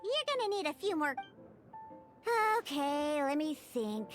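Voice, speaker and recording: A woman voicing an excitable, easily flustered young female card-game tutor in an anime-style video game, with expressive stammers and exclamations. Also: high voice, measured pace, over music. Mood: hesitant, sheepish.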